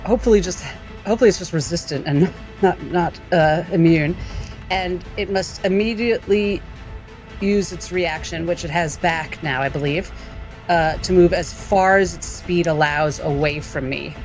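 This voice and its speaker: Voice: medium pitch, moderate pace. A player describing their character's action in a thoughtful, hedging way. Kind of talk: tabletop game play. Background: music.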